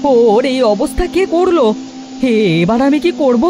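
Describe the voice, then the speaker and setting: A woman's voice: high-pitched, animated, moderate pace, background noise. A woman voicing a timid, obedient village boy in a cartoon, full of anxious questions and exclamations. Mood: anxious, frightened. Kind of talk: Bengali cartoon voice acting.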